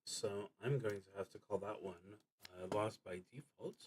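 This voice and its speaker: A man streaming a solo roleplaying game, thinking aloud and reading out cards, often halting and trailing off as he works things out. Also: fairly low pitch, background noise.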